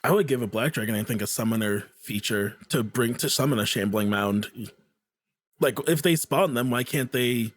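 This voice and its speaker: A man in a casual podcast chat about tabletop games, loose and animated, swearing casually. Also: mid-range voice, moderate pace.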